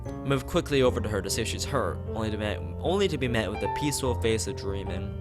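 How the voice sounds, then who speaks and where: Low-voiced man reading a game aloud. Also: lively intonation, fast talker, loud music behind the voice. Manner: stumbles over the text and rereads words. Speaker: let's play host reading a visual novel aloud. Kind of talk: read-aloud narration with side comments.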